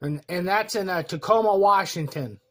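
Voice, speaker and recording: A man's voice: sits high, normal speed, fairly bright tone, slight background noise. A man talking casually and mock-earnestly as he makes a joking prank phone call. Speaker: prank caller.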